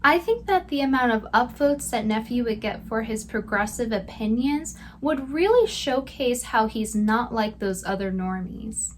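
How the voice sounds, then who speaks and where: A woman's voice: a little high, animated, measured pace, few pauses, a little hiss or hum. A woman giving scripted commentary with sarcastic, mocking asides. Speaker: video commentator.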